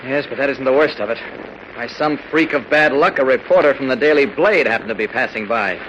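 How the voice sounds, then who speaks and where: Man playing quick-talking earnest newspaper reporter. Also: medium pitch, dull audio, close to an everyday voice. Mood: earnest, concerned. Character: earnest mild-mannered male newspaper reporter. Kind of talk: 1940s radio drama acting. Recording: old radio recording, faint noise.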